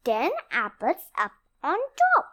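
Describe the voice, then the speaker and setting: A young girl reading a rhyming picture book aloud, expressively and deliberately in short phrases. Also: sits high, huge pitch swings, fairly bright tone. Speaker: read-along presenter.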